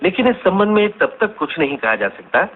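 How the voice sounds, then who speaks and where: An actor playing a courteous, earnest senior scientist, explaining politely and deliberately in a scripted radio drama interview. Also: male voice, high voice, very animated. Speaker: studio interview guest. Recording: tinny, band-limited, noisy radio recording.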